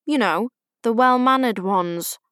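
A woman's voice: a little high, a little slow, a bit bright, clear, resonant tone, dry recording, studio quality. A woman voicing a grumpy, disapproving grandfather in an audiobook, complaining in a stern, snooty manner. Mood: muttering, disapproving. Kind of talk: audiobook character voice.

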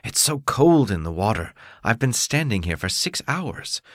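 A man's voice: lowish voice, sing-song, no echo, studio quality. A man voicing a tired, cold washerwoman mother who speaks fondly and pleadingly to her child. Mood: weary relief. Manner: fond and pleading, drawn-out endings.

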